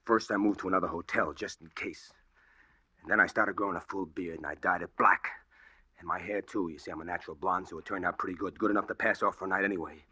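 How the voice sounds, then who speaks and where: A man's voice: low-pitched, fairly even intonation, quick pace, sharp tone, breathy edge, no room sound, exaggerated voice. An actor playing a desperate, obsessed man with earnest, confiding delivery in 1940s radio style. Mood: confiding, brooding. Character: desperate, obsessed man narrating his hunt for truth. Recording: old radio drama with music.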